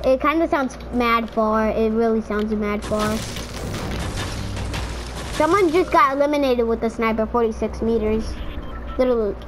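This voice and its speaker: Woman, higher pitch, casual rambling gamer, filler-heavy chatter, noisy game audio. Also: moderate pace, pauses often. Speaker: streamer playing in a squad. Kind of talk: casual gaming chat.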